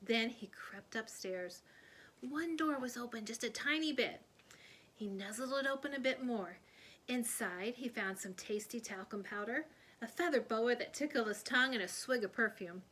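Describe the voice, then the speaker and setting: A woman reading a children's story aloud in an expressive, animated storytelling manner. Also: fairly high pitch, moderate pace, slightly rough. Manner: animated, putting on character voices. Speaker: read-aloud storyteller, teacher.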